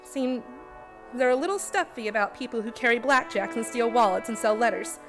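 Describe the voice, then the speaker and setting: A woman's voice: a little high, fairly quick, soft background music, close to an everyday voice. A woman playing a cunning young con artist who poses as a schoolgirl in a noir radio play, narrating and speaking in dialogue. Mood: anxious, scheming. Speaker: actor in a community radio play.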